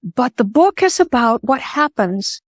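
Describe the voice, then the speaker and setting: Measured older woman, Greek first language. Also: on the high side, sing-song, no echo, studio quality. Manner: thoughtful, measured, pausing to rephrase. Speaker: guest. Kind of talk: interview conversation.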